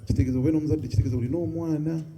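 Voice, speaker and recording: A man's voice: a little high, steady tone, very fast, over a noisy crowd. A man moderating a panel, asking questions in English and Luganda. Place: community hall.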